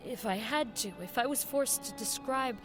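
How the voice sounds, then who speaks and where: A woman's voice: a little high, normal speed, close to an everyday voice. An actress playing an earnest, nervous woman who works in network radio, in radio drama dialogue and narration. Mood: haunted, awed.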